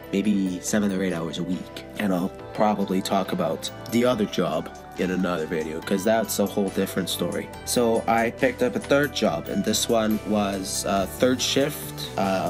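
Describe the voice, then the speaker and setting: A young man with a cold and a stuffed-up voice, telling a story in a casual vlog with dry sarcastic asides. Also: lowish voice. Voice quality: stuffed-up nasal voice. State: has a cold. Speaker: vlogger.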